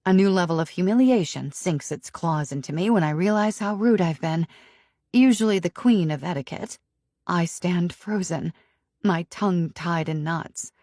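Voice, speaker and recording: Low-voiced woman narrating a romance. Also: normal speed, dry recording, studio quality. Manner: expressive, emotionally charged reading. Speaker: audiobook narrator. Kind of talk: first-person romance narration.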